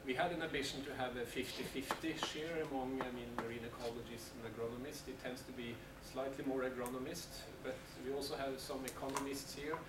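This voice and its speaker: A man talks through the plans for a seminar day, pausing often and restarting his sentences. He sounds set back from the microphone in an echoey meeting room. A steady noise runs under his voice. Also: medium pitch. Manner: hesitant, many fillers and restarts. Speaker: seminar organizer. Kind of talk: announcements at a seminar. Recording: echoey room from far off, noisy.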